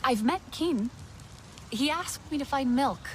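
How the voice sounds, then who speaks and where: A woman's voice: sits high, lively intonation, slow pace, noisy background. An actress playing an earnest, curious young female alien warrior in a video game cutscene. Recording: music behind the voice.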